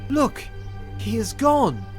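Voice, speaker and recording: A man's voice: very high-pitched, huge pitch swings, slow, exaggerated voice. A man playing an earnest medieval king, a loving husband, with formal theatrical delivery in an audio drama. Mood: awestruck, remorseful. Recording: music under the voice.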